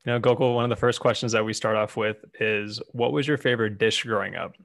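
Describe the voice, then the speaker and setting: A man co-hosts a podcast, friendly and chatty as he asks his guest questions. His voice has a gravelly fry, and it sits in a dry, close room. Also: lowish voice, steady tone, fast talker, a bit bright, no echo. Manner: friendly, chatty, many fillers. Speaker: podcast co-host. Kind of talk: casual interview chat.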